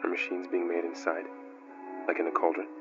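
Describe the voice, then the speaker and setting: Actor as a deep-voiced, gentle male companion. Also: monotone, a little fast, close to an everyday voice. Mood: warm, curious. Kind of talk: naturalistic video game acting. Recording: dull, compressed game audio. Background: prominent background music.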